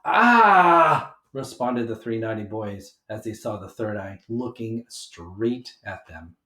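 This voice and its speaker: A man reading a children's picture book aloud with animated, emphatic delivery for young listeners. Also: on the low side, measured pace. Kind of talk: expressive children's read-aloud narration. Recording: roomy homemade recording.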